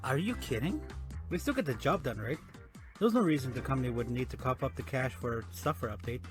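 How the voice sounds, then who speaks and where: A man voicing an exasperated young hyena office worker, arguing indignantly in an animated comic dub. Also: medium pitch, fairly quick, over music, natural voice. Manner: indignant, argumentative.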